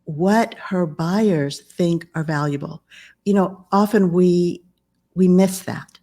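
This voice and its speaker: Woman, 50s, author and coach. Calm, steady and measured, with a lowish voice. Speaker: guest business coach and author. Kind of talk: podcast interview conversation.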